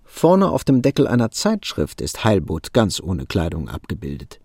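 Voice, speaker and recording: An actor narrating a radio drama in a wry, expressive storytelling manner. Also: male voice, on the low side, moderate pace, vocal fry, dry recording, studio quality, heavily acted. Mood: lively, telling. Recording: plucked guitar music underneath.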